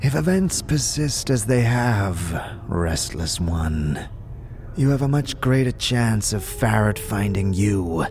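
A man voicing an old, dying, weary scribe in an audio drama, frail and breathy, speaking slowly and solemnly in archaic phrasing. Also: low-pitched, a little mellow. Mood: wry, weary. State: sickly, coughing.

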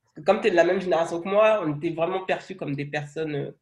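A man's voice: a little high, quick pace. A man in his thirties hosting a podcast interview over a video call. He chats casually, with many hedges and fillers. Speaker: podcast host.

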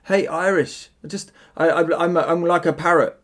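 A man's voice: on the high side, fairly even intonation, moderate pace, a bit bright. Rambling, giggly young man chatting. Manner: rambling, giggly, many restarts. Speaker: online synth builder. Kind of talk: casual podcast chat.

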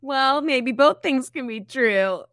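A woman's voice: sits high, slow pace, a bit bright, no room sound, studio quality. A woman co-hosting a podcast, chatting casually in a blunt, wry and playful way. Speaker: podcast co-host. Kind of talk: casual chat.

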